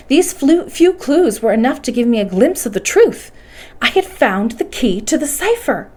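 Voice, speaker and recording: A woman reading a classic adventure story aloud as a storytime host, with dramatic emphasis and deliberate pauses. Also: on the high side, darkish tone. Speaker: library storytime host and reader. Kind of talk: expressive bedtime audiobook-style reading of a classic adventure novel.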